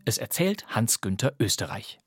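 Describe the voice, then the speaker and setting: A man's voice: lowish voice, big pitch swings, moderate pace. A man working as a radio presenter, reading scripted announcements for radio plays. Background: music.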